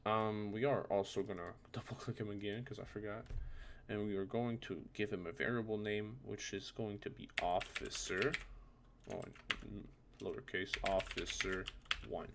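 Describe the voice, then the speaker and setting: A man with a very low, gravelly voice walks through a software tutorial in a casual, halting way. He thinks aloud as he demonstrates. There is some noise in the home recording, with keyboard clicks. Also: fairly even intonation. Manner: informal, hesitant, thinking aloud while demonstrating. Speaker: tutorial presenter. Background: background noise, computer keyboard and typing.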